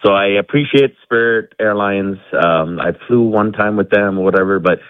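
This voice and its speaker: A man's voice: on the low side, moderate pace, dry recording. A man who grew up in India, calling in to a radio show from his garage, rambling with many fillers and restarts. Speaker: caller. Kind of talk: casual call-in chat. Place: home garage. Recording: tinny phone line.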